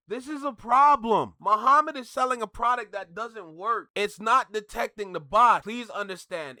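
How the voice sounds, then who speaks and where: Man, high-pitched, sharp bright tone, emphatic, repetitive phrasing, improvised reaction commentary. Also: no echo. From Canada. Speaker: reaction video host.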